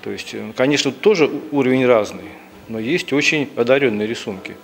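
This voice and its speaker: Halting middle-aged man, medium pitch. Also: creaky. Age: 50s. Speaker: professional artist, interviewee. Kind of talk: spontaneous news interview. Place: indoor lobby by a staircase. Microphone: handheld TV reporter mic.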